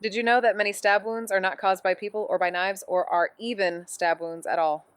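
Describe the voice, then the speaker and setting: An actress plays a cocky young woman sleuth in a mystery audio drama. She has a clear, smooth voice in a close, dry recording. She is playful and glib, rambling on as she overexplains. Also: mid-range voice, fairly even intonation, brisk, no echo, close to an everyday voice. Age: 20s. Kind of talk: naturalistic audio drama banter.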